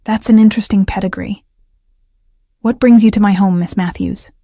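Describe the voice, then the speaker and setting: A woman voicing an older male mafia boss, speaking slowly, softly and coldly in a storybook reading. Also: medium pitch, steady tone, no room sound, clean studio sound, close to an everyday voice. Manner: slow, soft and cold.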